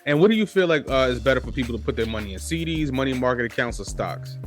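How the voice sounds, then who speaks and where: A man's voice: medium pitch, expressive, quick pace, over music. A man hosting a casual podcast interview, talking loosely with many restarts and fillers. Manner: loose, restarts often, lots of "you know". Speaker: podcast host.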